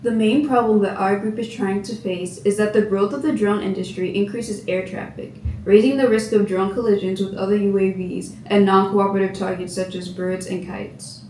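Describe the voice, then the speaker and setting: A woman's voice: mid-range voice, steady tone, normal speed, few pauses, darkish tone. A teenage girl giving a scripted student presentation on research. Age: teens. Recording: roomy echo, distant, noisy.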